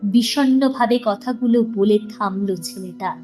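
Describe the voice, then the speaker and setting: A woman narrating a scripted story in a measured, expressive storytelling manner. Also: mid-range voice, soft background music.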